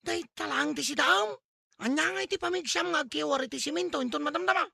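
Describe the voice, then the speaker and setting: Super high gravelly ilocano drinking buddy, chiding, exclamatory, comedy drama. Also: male voice, quick pace, sharp tone, no room sound, exaggerated voice.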